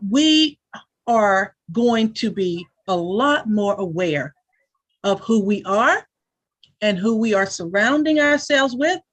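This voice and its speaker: A woman's voice: a little high, fairly bright tone. A woman hosting a community forum as mayor, speaking in a gracious, motivational way.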